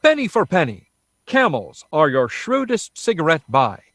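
An American man announcing on vintage radio. His voice is fairly high and lively, with polished, emphatic scripted delivery and a very dry sound. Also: normal speed, no room sound. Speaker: announcer and narrator. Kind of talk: 1940s radio ad and scripted narration.

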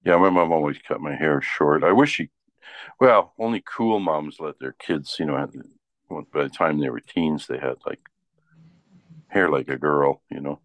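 An older man, chatting casually and haltingly, often checking in with "right?". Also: a bass voice. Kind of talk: casual chat.

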